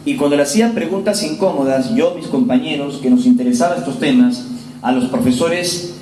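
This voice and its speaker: A man's voice: medium pitch, warm tone, far from the mic. Guy in his 40s from peru giving a talk, echoey hall, a bit of room hum behind. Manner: earnest, measured storytelling to an audience. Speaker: lecturer. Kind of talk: public lecture. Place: lecture hall. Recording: roomy hall with faint ambience.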